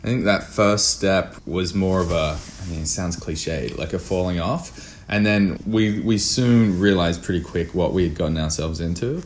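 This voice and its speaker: A man speaking as an interview guest in casual chat, musing in halting phrases. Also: low voice. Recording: faint background noise.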